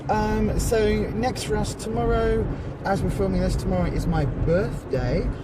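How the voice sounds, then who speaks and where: A woman's voice: mid-range voice, unhurried. A woman in her forties chatting casually to camera as a passenger in a moving car, rambling and self-mocking with lots of fillers. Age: 40s. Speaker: vlogger, charity organiser. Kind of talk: casual vlog chat. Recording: loud car road noise. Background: engine and road noise.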